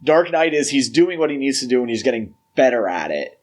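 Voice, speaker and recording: Male podcast co-host, mid-pitched voice, casual and analytical, explains as he chats about film. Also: big pitch swings, a little fast, darkish tone.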